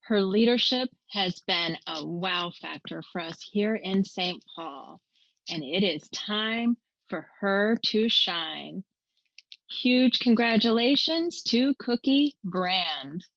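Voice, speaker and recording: Slow-speaking woman announcing an award. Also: mid-range voice, animated, fairly bright tone, no echo. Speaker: award presenter. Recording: dry, dull recording.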